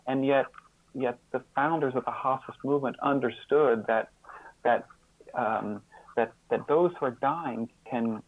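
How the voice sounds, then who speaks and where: A man speaking as an interview guest, explaining his ideas in a reflective, earnest way, often halting and repeating words. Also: medium pitch, no echo. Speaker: interview guest and author on caregiving. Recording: slightly phone-like, band-limited line.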